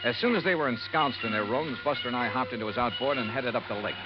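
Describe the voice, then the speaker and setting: An actor playing a brisk, hard-boiled male investigator in a 1950s radio noir drama, terse and probing. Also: mid-range voice, over music, natural voice. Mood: wry, reflective. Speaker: lead detective and narrator. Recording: noisy, dull vintage radio recording.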